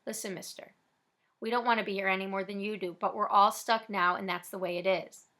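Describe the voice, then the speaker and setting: A woman's voice: mid-range voice, steady tone, a little fast, fairly bright tone, lightly acted. A woman voicing a tough young male robber in a storybook, talking in a blunt, streetwise way. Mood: calm.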